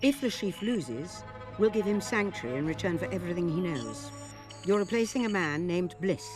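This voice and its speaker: A woman's voice: fairly low pitch, animated, normal speed, close to an everyday voice. A woman playing an authoritative, clipped female intelligence chief in a video game drama. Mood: businesslike briefing. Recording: music under the voice.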